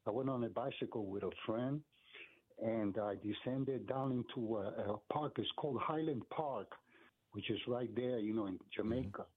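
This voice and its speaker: A man's voice: mid-range voice, moderate pace, slightly rough. An older man from Brooklyn, born in Puerto Rico, telling a personal story casually as a caller on a phone line. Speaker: radio show caller.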